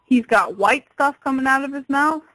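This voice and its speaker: A woman's voice: high-pitched, moderate pace, pushed and bright, no room sound. Patient woman on a dry phone call. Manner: patient, explanatory, hesitant. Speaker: pet store employee. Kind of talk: customer service phone call. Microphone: telephone.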